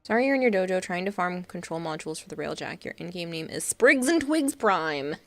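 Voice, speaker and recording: A woman's voice: lowish voice, sing-song, brisk. A young woman from Massachusetts with a Boston accent, chatting casually to viewers on a live stream. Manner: chatty, reassuring, reading chat aloud.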